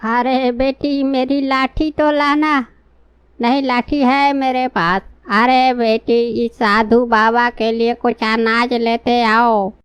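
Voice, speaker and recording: Woman acting a anxious old father, cartoon villager, plaintive, extremely slow, clean smooth tone. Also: a little high, steady tone. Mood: calling out. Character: worried, trusting elderly village father in cartoon. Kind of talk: cartoon dialogue.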